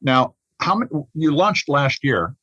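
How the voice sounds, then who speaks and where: A man hosting a conversational podcast interview, phrasing his questions in a halting, thoughtful way. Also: a little high, sing-song, pushed and bright, no room sound, highs cut off.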